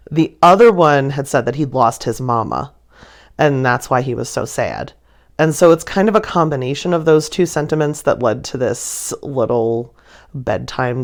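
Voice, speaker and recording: A woman telling stories and chatting casually to viewers in a playful, gushing way, often with a giggle in her words. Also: a bass voice. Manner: giggly, laughs through sentences. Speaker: artist presenting own painting video. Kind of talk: casual storytelling chat, voice-over to viewers.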